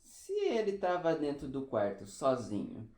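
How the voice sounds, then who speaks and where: A woman's voice: deep voice, wildly swooping pitch, measured pace, a little mellow, exaggerated voice. A woman playing a curious, hesitant amateur sleuth with questioning, exaggerated intonation in a comic audio drama. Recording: roomy, echoey sound.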